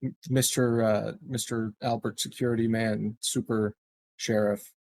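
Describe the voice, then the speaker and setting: A man's voice: fairly low pitch, flat delivery, unhurried, creaky, a little mellow, a little hiss or hum. A man playing a tabletop roleplaying game, talking casually and tentatively as he thinks out his next move aloud.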